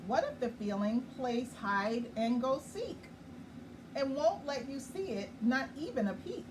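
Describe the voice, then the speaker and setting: Slow gentle woman reading to children. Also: high-pitched, lively intonation. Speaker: children's story host. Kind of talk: reading a picture book aloud. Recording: far from the mic with clear background noise.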